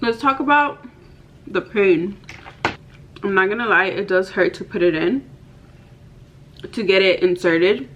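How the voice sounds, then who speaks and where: A woman's voice: medium pitch, a little slow, long pauses, a bit bright, a little hiss or hum. Chatty woman of thirty, slightly dull audio. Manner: chatty, candid, many "like" fillers. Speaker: vlogger. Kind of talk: casual talk to camera. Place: bedroom, indoors. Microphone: camera in front, head and shoulders.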